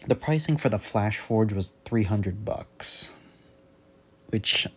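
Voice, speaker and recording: A young man with a low-pitched voice talks off the cuff in a casual audio diary. He rambles along haltingly, often searching for the right word. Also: fairly bright tone, slightly rough. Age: young adult. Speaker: hobbyist vlogger. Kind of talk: casual unscripted audio log.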